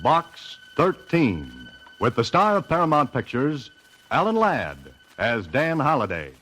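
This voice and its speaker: A man's voice: medium pitch, sing-song, a little slow, rough recording. An American man announcing a show introduction in 1940s radio style. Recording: vintage radio with loud music.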